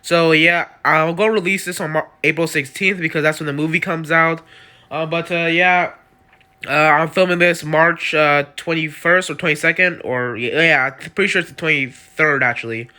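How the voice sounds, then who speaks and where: A man's voice: a little high, fairly even intonation, moderate pace, pushed and bright, clean tone. A man with a cold hosting his channel, recapping video-game character lore in a rambling way, full of likes and uhs. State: has a cold. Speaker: channel host.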